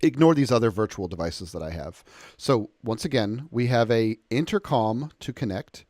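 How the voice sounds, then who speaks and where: An American man talks through a software tutorial in a conversational, unscripted style. His voice sits at an average male pitch and is recorded close and dry. He explains things step by step in an informal way. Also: dry recording. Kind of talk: informal off-the-cuff software walkthrough. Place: indoors, close dry voice-over of a screen recording.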